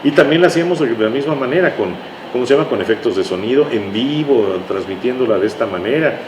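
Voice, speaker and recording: A Mexican man talks as a guest in a relaxed-format interview, recounting anecdotes at length with little tag questions along the way. His voice sits at an average pitch. The room is echoey, with a noticeable noisy hum behind him. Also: quick pace. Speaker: interview guest, writer recalling his radio work. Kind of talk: conversational reminiscing.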